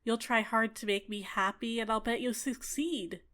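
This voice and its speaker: A woman voicing a bubbly, clingy fairy with a sweetly menacing edge in an ASMR roleplay, talking in an excitable, coy, chatty way. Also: fairly high pitch, normal speed, natural voice. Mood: adoring, possessive. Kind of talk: ASMR roleplay, scripted character acting.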